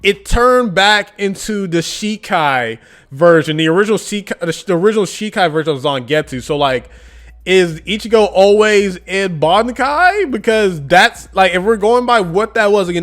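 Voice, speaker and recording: A man's voice: sits high, lively intonation, normal speed, fairly bright tone. A man giving a casual, chatty manga review, with lots of fillers and frequent laughs. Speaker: anime and manga YouTuber.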